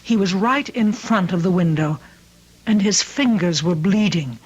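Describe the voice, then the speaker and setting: A woman's voice: mid-range voice, unhurried, slightly rough, dull audio. An actress playing an anxious, doting middle-aged mother in an old-time radio drama, speaking in an earnest, fretful way. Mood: earnest, confessing.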